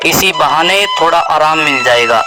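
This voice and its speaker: A simple, trusting villager from a moral tale , played by a man. His voice sits high for a man. Clear music runs behind his storybook dialogue. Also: moderate pace, close to an everyday voice. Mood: musing.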